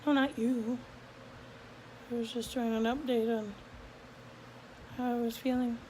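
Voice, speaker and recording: A young woman vlogging on a phone camera, tired after surgery, talking in a drowsy, drawn-out, giggly way. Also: on the high side, steady tone, low-quality recording. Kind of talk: casual video diary. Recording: loud fan hum behind.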